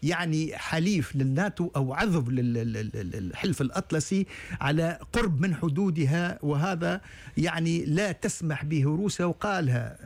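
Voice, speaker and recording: A man's voice: a little high, animated, normal speed. A Tunisian-accented man analysing events as a talk show guest. His somewhat raised voice halts and restarts often, with frequent fillers. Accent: Tunisian Arabic. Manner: hesitant, many stalled restarts and fillers. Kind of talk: studio talk show discussion.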